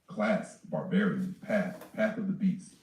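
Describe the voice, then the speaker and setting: A man reading a character and campaign sheet aloud in a flat, list-like way. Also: low-pitched, slow. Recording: distant, echoey, faint noise.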